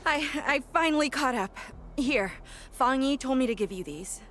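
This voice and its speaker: Young female game courier: earnest, helpful, raised pitch, faint noise. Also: fairly bright tone, heavily acted. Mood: winded, relieved. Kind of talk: video game voice acting.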